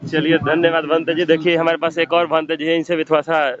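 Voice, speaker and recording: A man's voice: fairly high pitch, completely flat delivery, fairly quick, highs cut off. A man conducting a street interview as a reporter at a protest sit-in. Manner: frequent short acknowledgements while listening. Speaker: interviewer for a news channel.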